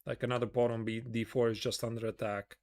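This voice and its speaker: A man with a Latvian accent and a mid-pitched voice commentates live on chess. He talks casually, thinking aloud in short phrases and putting questions to himself as he goes. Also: flat delivery, fast, darkish tone.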